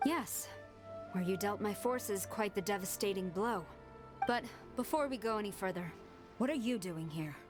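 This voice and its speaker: A woman's voice: mid-range voice, normal speed, lightly acted. A woman voicing a stern, dutiful military commander in a video game, formal and businesslike. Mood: brisk, guarded. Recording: game music behind the voice.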